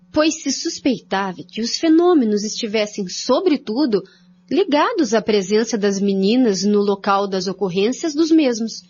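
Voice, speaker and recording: Woman, Brazilian accent, husky, fairly high voice, scripted radio drama narration, dull recording. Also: a little mellow. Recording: dull lo-fi audio.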